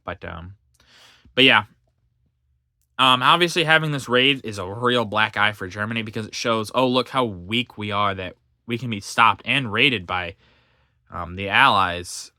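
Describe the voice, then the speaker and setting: A man reading a history book aloud, with halting reading, fillers and self-corrections, stopping now and then for casual comments. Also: fairly low pitch, sharp tone, no room sound, studio quality. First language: English.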